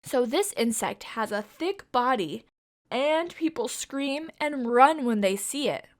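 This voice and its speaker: Lively, sing-song woman reading to kids. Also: sits high, slow pace. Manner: sing-song, coaxing questions to young listeners. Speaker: children's storytime reader. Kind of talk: reading a picture book aloud to children.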